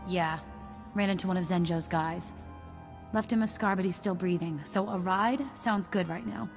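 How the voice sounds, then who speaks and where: A woman's voice: lowish voice, moderate pace, soft background music, lightly acted. A woman playing a tough, wry outlaw in a video game, blunt, streetwise and slangy. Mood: resigned, blunt.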